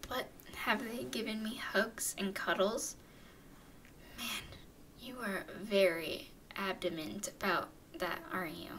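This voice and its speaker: Woman voicing a slow-talking, haughty vampire girl. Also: mid-range voice, a little hiss or hum, close to an everyday voice. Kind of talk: ASMR roleplay, acted monologue to listener.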